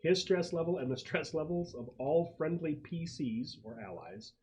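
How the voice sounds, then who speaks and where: A man's voice: a little high, animated, normal speed, a little mellow. A man casually refereeing a tabletop roleplaying game as game master, explaining rules and outcomes. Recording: clearly noisy room recording.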